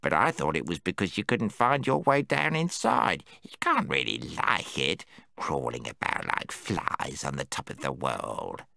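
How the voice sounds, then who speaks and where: A man voicing a timid, excitable male gnome who squeaks when frightened. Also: a little slow, gravelly, no echo, clean studio sound, full character voice. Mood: puzzled. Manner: fretful, squeaks when scared. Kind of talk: audiobook character voice.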